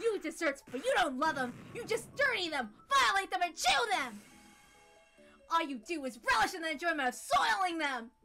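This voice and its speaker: A woman's voice: very high voice, sing-song, normal speed, gravelly, over music, exaggerated voice. A woman voicing a scheming, teasing boy who makes grandiose, insistent speeches in a visual novel reading. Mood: accusing. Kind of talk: animated visual novel read-aloud.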